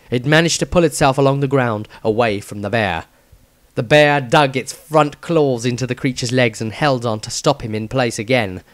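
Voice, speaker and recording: A man's voice: mid-range voice, no echo. A man reading a fantasy story as a scripted narration, with a theatrical, emphatic delivery. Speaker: author reading his own story.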